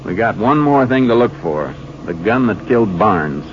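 Low, laconic Texas Ranger played by a man. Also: animated, moderate pace, close to an everyday voice. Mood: focused, matter-of-fact. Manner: laconic, matter-of-fact. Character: steady, businesslike male Texas Ranger investigator and narrator. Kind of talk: 1950s radio crime drama acting. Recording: noisy, dull 1950s radio recording.

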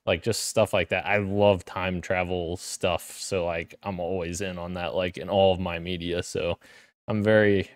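A man's voice: low-pitched, clear, resonant tone, no echo, studio quality. A man co-hosting a casual podcast, talking with enthusiasm and plenty of fillers. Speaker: podcast co-host.

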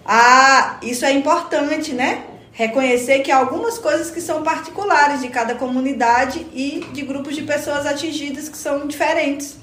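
A woman's voice: high voice, normal speed, fairly bright tone. A woman with a Brazilian accent playing a curious, agreeable community member who talks colloquially in an educational radio play. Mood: curious, attentive. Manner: colloquial, inquisitive. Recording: echoey room, faint noise.

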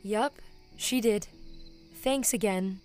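A woman's voice: medium pitch, takes it slowly, darkish tone, soft background music, natural voice. A woman playing an anxious, self-loathing teenage girl, hesitant and self-deprecating, in an audio drama. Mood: bitter, subdued.

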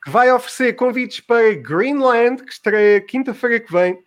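A man's voice: extremely high pitch, normal speed. A man with a European Portuguese accent, hosting a comedy news show in a casual, chatty presenting style.

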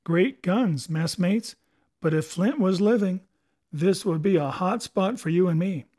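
A man voicing a scheming old male pirate cook with gruff, salty sailor talk, hearty and cunning. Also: high voice, unhurried, mellow, voice pushed up. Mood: ominous.

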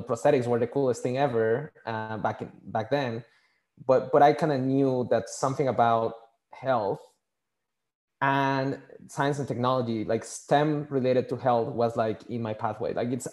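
A man with a medium-pitched voice and a Mexican accent, whose first language is Spanish. He talks casually as an interview guest, halting often, doubling back on words and sprinkling in fillers. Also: a little mellow. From Mexico City, Mexico. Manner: halting, repeats words, many "you know" fillers. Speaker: podcast guest, scientist and engineer. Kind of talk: casual conversational interview answers.

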